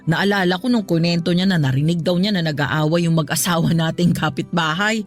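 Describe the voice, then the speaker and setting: A woman's voice: on the low side, a little fast, soft background music, close to an everyday voice. Woman acting a chatty, frank middle-aged mother. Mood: teasing, gossipy. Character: humble, chatty middle-aged mother, teasing yet caring. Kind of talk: Tagalog radio drama acting.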